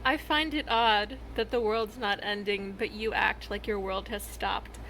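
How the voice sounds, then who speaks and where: A woman talking casually in a reaction vlog, with a halting, expressive fangirl delivery and dramatic pauses. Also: mid-range voice, slight background noise, rough recording. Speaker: vlogger sharing reactions to fan fiction.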